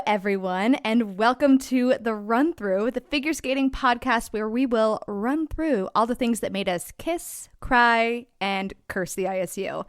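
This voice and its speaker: A woman's voice: on the high side, clean tone, no echo, studio quality. A woman co-hosting a casual podcast, trading wry, teasing banter. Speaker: podcast co-host. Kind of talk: casual chat.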